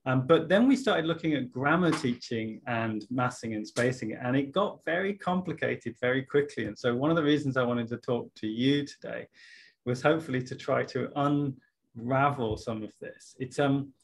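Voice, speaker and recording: A man's voice: mid-range voice, moderate pace, creaky. A man in his thirties with an ordinary mid-range voice hosts an interview over a video call. He talks casually and thinks aloud, restarting his sentences as he frames each question. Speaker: interviewer host. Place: room lined with bookshelves.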